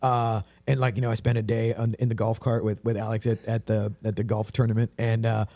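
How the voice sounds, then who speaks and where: Gravelly, chatty host. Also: male voice, on the low side, flat delivery, brisk, warm tone. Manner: rambling, chatty, many restarts. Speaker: podcast host. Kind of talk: casual interview chat.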